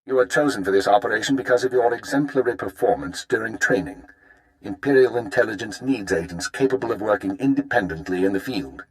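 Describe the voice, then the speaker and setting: A man playing a stern, formal intelligence chief in a video game, giving measured, authoritative orders. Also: on the low side, lightly acted, poor audio. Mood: formal, businesslike. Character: stern male imperial intelligence chief briefing an agent. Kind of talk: scripted video game dialogue.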